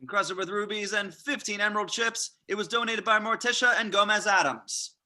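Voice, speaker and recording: Man playing a high, sharp-voiced auctioneer. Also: fairly quick. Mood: formal announcing. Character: formal charity auctioneer addressing a crowd. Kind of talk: table read of a comedy film script.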